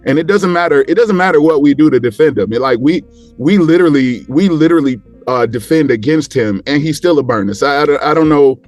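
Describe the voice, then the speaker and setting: A man's voice: fairly high pitch, expressive, fast talker, darkish tone. Rambling man talking sports, music behind. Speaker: guest panelist. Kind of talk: casual sports talk.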